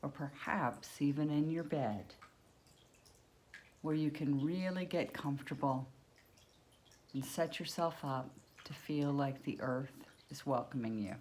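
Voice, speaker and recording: A woman with a low-pitched voice guides a relaxation meditation at a very slow pace. She gives soothing instructions in short phrases, leaving long pauses, with noticeable background noise on the recording. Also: darkish tone.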